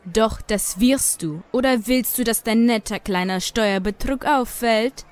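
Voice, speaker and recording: A woman's voice: a little high, unhurried, natural voice. A woman playing a cruel, scheming child trafficker in a German audio drama, speaking with smug menace. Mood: menacing, smug.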